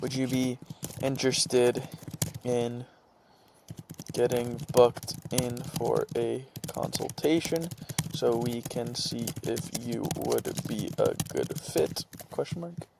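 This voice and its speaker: A man presenting an informal screen-share tutorial, talking haltingly as he thinks aloud and reads out what he types. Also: medium pitch, steady tone, gravelly, background noise. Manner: halting, thinking aloud while typing and reading out script.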